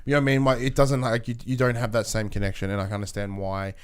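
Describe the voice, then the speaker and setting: Clear-voiced Australian man, rambling casual chat. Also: on the low side, flat delivery. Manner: rambling, halting, frequent "like". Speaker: podcast co-host.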